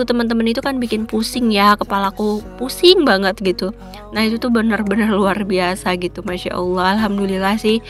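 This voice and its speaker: A woman's voice: mid-range voice, a bit bright. A woman with a stuffy nose from a cold, chatting casually in a cooking vlog. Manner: chatty, many fillers. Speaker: vlogger, housewife.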